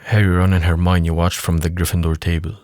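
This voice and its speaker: A man's voice: low voice, little pitch movement, fairly quick, a little mellow, no room sound, clean studio sound. A man reading scripted narration for an audio drama.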